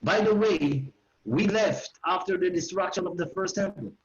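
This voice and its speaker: A man's voice: sits high, moderate pace. A man in his forties with an Israeli accent, giving an online history lecture in simple phrasing addressed to his listeners. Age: 40s. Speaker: educational tour guide giving a lecture. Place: living room at home. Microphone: video call. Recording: roomy, slightly dull video-call audio.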